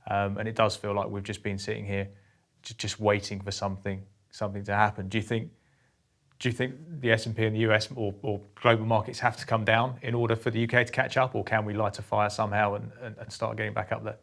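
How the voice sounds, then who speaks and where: A man hosting a business podcast, chatting briskly and with self-deprecating humor about markets. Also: on the low side, flat delivery, vocal fry, a bit bright. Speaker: podcast host, company executive. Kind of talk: casual market discussion.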